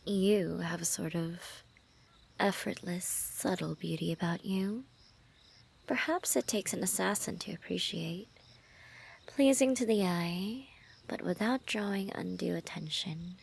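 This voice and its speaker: A woman playing a lovestruck assassin in an intimate ASMR roleplay, teasing and menacing, speaking softly and often dropping into whispers. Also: fairly low pitch, measured pace, vocal fry, breathy edge, exaggerated voice. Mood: tender, infatuated.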